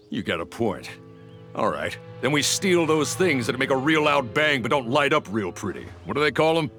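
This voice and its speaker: A man's voice: mid-range voice, a bit bright. A man playing a cocky, slangy bandit boss in a video game, bossy and blustering. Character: gruff cocky male bandit boss, dim but scheming.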